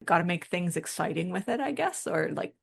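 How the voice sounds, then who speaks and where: A woman's voice: fairly low pitch, expressive, a little fast, gravelly, husky. A woman co-hosting a podcast, explaining and discussing things in an informal, conversational way. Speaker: podcast co-host.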